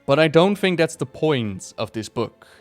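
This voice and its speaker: A man's voice: fairly high pitch, lively intonation, normal speed, faint music behind. A man chatting casually and enthusiastically as he reviews something for viewers, rambling a little and stressing his praise. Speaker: video creator reviewing a book.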